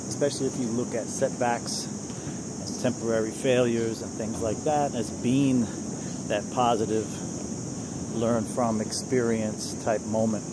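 A man in his forties vlogging on a walk in a park just after his workout, talking in a relaxed, rambling way about how you have to keep moving forward. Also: mid-range voice, measured pace. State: a little winded after a gym workout. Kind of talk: casual motivational walk-and-talk. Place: outdoors in a leafy city park. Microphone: earbud headset mic, phone held low at arm's length. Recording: loud outdoor noise, insects and traffic.

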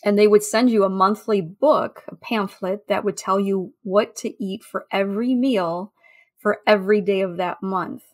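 Woman, mid-range voice, podcast guest, conversational, halting anecdotal delivery. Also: medium pitch, steady tone. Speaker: interview guest, food history researcher.

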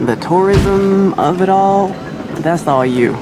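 A man's voice: high-pitched, slow pace, noisy background. An actor, a man, playing a blunt, skeptical transport pilot in a video game with a dry, offhand manner. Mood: wry, skeptical. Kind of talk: video game dialogue acting.

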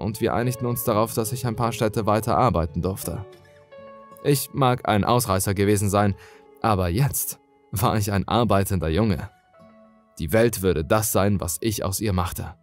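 A man's voice: fairly low pitch, moderate pace, faint music behind. A man reading a scripted first-person horror story as a dramatic narrator.

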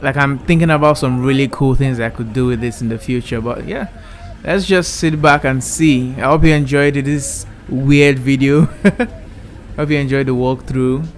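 Young man giving a relaxed VR gallery tour. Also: medium pitch, normal speed, slight background noise. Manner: relaxed, enthusiastic, repeats "really cool". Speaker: YouTube creator. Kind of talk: casual vlog walkthrough. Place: indoor room, wearing VR headset. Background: soft background music.

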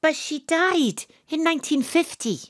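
Excitable young Welsh female sleuth, audio drama, breathless suspense, close dry studio sound. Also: high-pitched, a little slow, no echo. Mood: shaken. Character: Astrid, excitable young Welsh woman investigator, narrating. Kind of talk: audio drama narration and dialogue.

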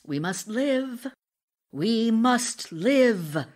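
An actress playing a devoted, anxious wife in an audio drama, voicing letters to her absent husband in an intimate, earnest, expressive way. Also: a little high, extremely slow, full character voice. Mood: yearning. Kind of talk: audio drama acting, reading love letters aloud.

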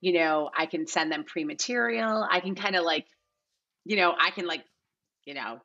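Slightly dull call audio carries an American woman with a low, bright voice. She talks casually as an interview guest in her forties, slipping quoted asides into her sentences. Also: moderate pace. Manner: conversational, peppered with quoted asides. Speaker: casting director, podcast guest. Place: indoor living room, on a video call.

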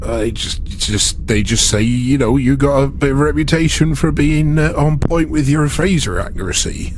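A man's voice: medium pitch, moderate pace, a little hiss or hum, pitched above the performer's own voice, natural voice. A man playing a friendly, enthusiastic starship helm officer in a tabletop roleplay, speaking courteously and encouragingly.

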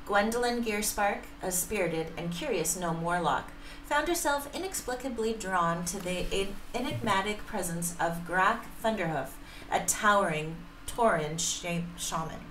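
A middle-aged woman reads a romance story aloud at a careful pace, now and then tripping on a word. The room echoes around her medium-pitched voice, with faint noise behind. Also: measured pace, a bit bright. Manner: careful reading with stumbles. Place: home room, indoors, at a desk. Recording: roomy sound, faint background noise.

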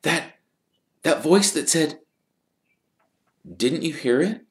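A man's voice: fairly high pitch, lively intonation, slow, voice pushed up. A frantic young schoolboy pushes his words out urgently in a raised voice, as a man plays him. The sound has a roomy echo.

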